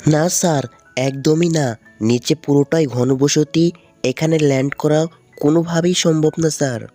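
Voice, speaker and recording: A man's voice: fairly high pitch, fairly even intonation, a little slow, dark tone, lightly acted. A desperate cartoon pilot acted by a man with a Bangladeshi accent, pleading urgently and politely. The voice is raised a little, with music under it. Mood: panicked. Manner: urgent pleading, repeating "sir". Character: panicking male pilot radioing for help, polite, desperate.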